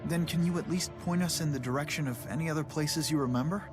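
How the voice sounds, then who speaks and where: An actor playing an earnest, kind, gentle young man in a fantasy adventure game, polite and sincere in his delivery. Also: a little high, fast, close to an everyday voice. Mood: earnest, reassuring. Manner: polite, sincere, soft-hearted. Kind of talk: video game cutscene voice acting. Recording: game music behind the voice.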